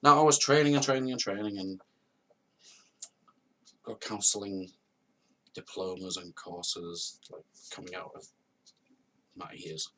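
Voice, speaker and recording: A man's voice: deep voice, steady tone, measured pace, long pauses, bright, forceful tone, distant mic, poor audio. A man in his thirties telling his own story to camera in an earnest, confessional way, repeating phrases for emphasis. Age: 30s. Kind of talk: personal testimony to camera. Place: at home, on a video call setup.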